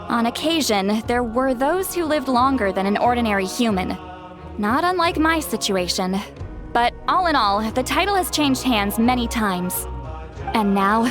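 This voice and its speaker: An actress playing a proud, fretful, easily exasperated shaman woman in an anime game, speaking bluntly. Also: on the high side.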